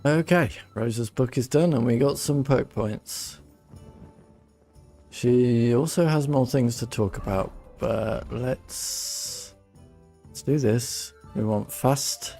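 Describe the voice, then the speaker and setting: Male game streamer, unhurried and soft-toned, casual musing commentary, mid pitch. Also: gravelly, faint music behind.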